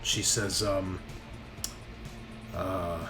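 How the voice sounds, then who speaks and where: A man's voice: low-pitched, flat delivery, very slow. A man acting as game master, narrating a tabletop roleplaying game in a casual, profane, bantering way. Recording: music behind the voice. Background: background music.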